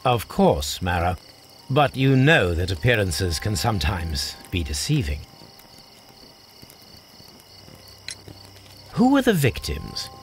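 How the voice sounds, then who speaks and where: An actor playing a composed, courteous Victorian gentleman detective with an English accent, questioning politely in a video game. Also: low-pitched, wildly swooping pitch, a little slow, a little hiss or hum, heavily acted. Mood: probing, courteous. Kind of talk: scripted video game acting.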